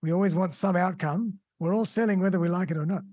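Upbeat woman podcast host, husky voice. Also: on the low side, mellow, dry recording, studio quality. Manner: upbeat, quick encouraging interjections. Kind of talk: casual podcast interview.

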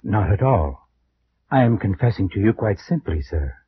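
A reserved family physician, speaking in a low, sombre voice. He is formal, deferential and guarded with every word. The vintage radio recording is muffled with faint noise. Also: sing-song, moderate pace. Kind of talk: 1940s-50s radio drama acting.

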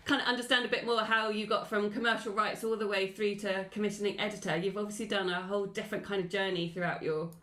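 A woman's voice: mid-range voice, fairly even intonation, fast, few pauses, a bit bright, reverberant. A woman hosting an interview podcast. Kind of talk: scripted podcast introduction, then conversational interview.

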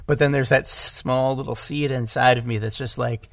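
A man chatting casually about creative work, with animated, self-deprecating asides. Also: mid-range voice, normal speed, dry recording, studio quality. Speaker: podcast guest, audio drama writer and director. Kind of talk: casual conversational discussion.

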